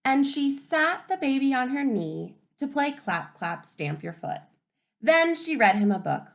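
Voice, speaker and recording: A woman teacher reading a picture book aloud to young children in an expressive, instructive way, pausing to ask questions. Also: high-pitched, creaky. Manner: animated, instructive, asking the listeners questions.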